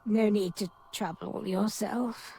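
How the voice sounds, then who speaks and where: Imperious noblewoman: gravelly, deliberate, curt commands. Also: medium pitch, steady tone, dark tone, slight background noise. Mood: cold. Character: imperious noble woman, cold and demanding.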